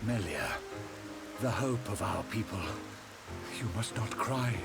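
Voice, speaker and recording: Solemn old emperor dad, slow n stately, loud music and rain behind him. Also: male voice, fairly low pitch, lively intonation, gravelly, heavily acted. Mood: solemn, tender. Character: dignified older emperor, loving father, solemn. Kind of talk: video game cutscene acting.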